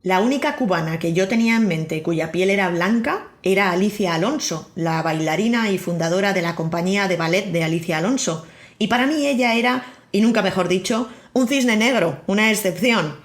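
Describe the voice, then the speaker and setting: Spanish woman host, scripted podcast monologue, dry humor, mid-pitch voice. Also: animated, fairly quick. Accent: Spain Spanish. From Spain. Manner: wry, personal storytelling. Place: living in Miami.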